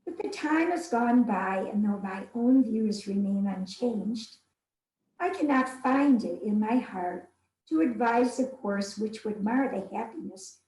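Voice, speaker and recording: A woman's voice: medium pitch, mellow, close to an everyday voice. A woman reading as a devoted, candid young wife of the 1830s, giving her letters in formal, earnest, old-fashioned phrasing. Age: young adult. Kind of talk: dramatic reading of 19th-century letters. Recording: roomy, echoing sound.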